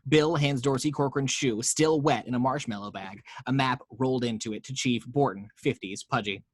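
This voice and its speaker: A man's voice: medium pitch, normal speed, dry recording. A man narrating the action lines of a screenplay table read, with wry, playful asides.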